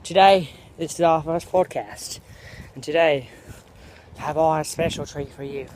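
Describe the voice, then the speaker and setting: A man's voice: fairly high pitch, unhurried, darkish tone, a little hiss or hum. American guy faking a British accent. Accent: American speaker putting on a mock British accent. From United States. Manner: jokey and meandering, full of fillers and self-corrections. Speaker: vlogger host. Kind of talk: rambling walk-and-talk vlog. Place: outdoors on a school campus.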